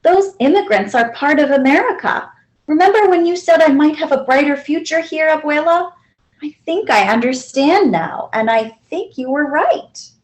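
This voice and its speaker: A woman's voice: high-pitched, lively intonation, moderate pace, pitched above the performer's own voice, poor audio. A woman reading the part of an earnest young immigrant girl in a storybook, anxious yet hopeful. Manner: questioning, earnest.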